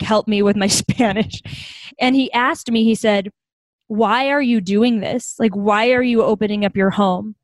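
A woman's voice: on the high side, no echo. A young adult woman, a guest, telling stories in a casual interview, laughing often as she talks. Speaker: founder of a hospitality home, guest.

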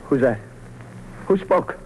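An actor playing a bitter, desperate young condemned convict who talks in tough street slang, in 1940s radio gangster style. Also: male voice, slow, a little mellow, lightly acted, low-quality recording. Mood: anxious. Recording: old radio recording, faint noise.

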